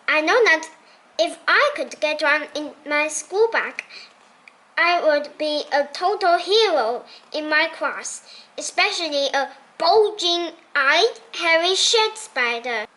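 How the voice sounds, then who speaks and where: A woman reading a children's story aloud expressively, in a childlike storytelling manner with deliberate phrasing. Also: very high voice, pushed and bright. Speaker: storybook reader.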